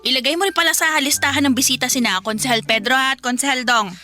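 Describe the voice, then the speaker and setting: A woman's voice: sits high, quick pace, pushed and bright. A woman playing a pushy, nagging middle-aged mother in a Filipino radio drama, chattering and scolding with exclamations. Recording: music bed behind the voice.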